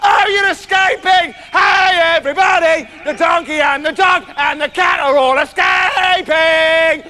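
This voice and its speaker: A man voicing a loud, excitable, dim-witted storybook rooster with a shrill crowing shout and drawn-out delivery. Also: a faint crowd, a little hiss or hum, heavily acted. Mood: loud, excited.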